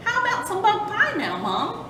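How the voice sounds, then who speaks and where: A woman's voice: huge pitch swings, moderate pace, exaggerated voice. A woman voicing a playful, eager young boy possum in a children's storybook. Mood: eager, carefree. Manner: eager, pleading, carefree. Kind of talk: children's storybook read aloud. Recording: roomy, distant mic with rumbling noise.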